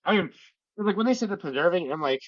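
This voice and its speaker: A podcast co-host chatting casually, agreeable and easygoing, sounding congested from a lingering illness. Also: male voice, high-pitched, expressive, quick pace, no echo, dull audio. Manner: easygoing, agreeable, lots of fillers. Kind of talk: casual chat.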